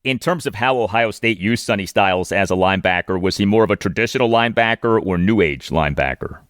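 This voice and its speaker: An American man hosting a sports interview, putting prepared questions in a deliberate, formal way. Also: lowish voice, a bit bright, no room sound, studio quality. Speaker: sports podcast host, interviewer.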